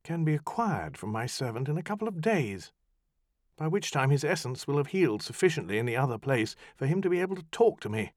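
A weary, guarded young magician, as a male narrator plays him, quiet and terse. His lines stay restrained with a close, dry recording sound. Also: fairly high pitch, sing-song, a little fast, no room sound, voice pushed up.

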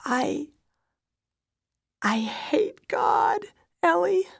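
A woman's voice: fairly low pitch, slow, dry recording, studio quality. A woman playing a distraught mother and wife, pleading urgently, in dramatic storytelling. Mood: anguished. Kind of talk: dramatic audiobook-style storytelling.

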